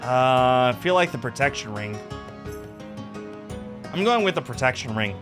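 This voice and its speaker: Guy streamer reading a visual novel out loud, lively voice, bit of guitar music behind. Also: medium pitch, moderate pace. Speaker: game streamer. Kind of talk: reading visual novel aloud, dramatic narration with chat asides. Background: game music with guitar.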